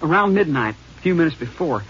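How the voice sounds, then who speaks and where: A man's voice: on the high side, very animated, fast talker, fairly bright tone. An actor playing a nervous young man who hesitates and stammers, in a 1950s radio drama. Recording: dull lo-fi old radio broadcast with faint hiss.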